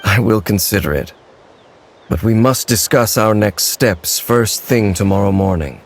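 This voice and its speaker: A man voicing a stern, aloof lone warrior in a video game, speaking in a formal, measured, terse manner. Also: lowish voice. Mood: grave. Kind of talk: dramatic video game character acting.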